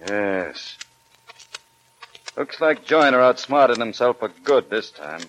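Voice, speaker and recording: A man's voice: medium pitch, lively intonation, takes it slowly, fairly bright tone, highs cut off. An actor playing a grim lawman in a 1940s radio crime drama. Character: grim lawman finding a dying fugitive.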